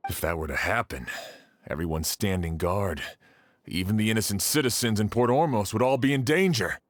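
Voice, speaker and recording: A man's voice: fairly low pitch, lively intonation, a little fast, no room sound, clean studio sound, lightly acted. A man playing a stern but fair sheriff in a video game, speaking with firm, composed authority. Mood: grave, decisive.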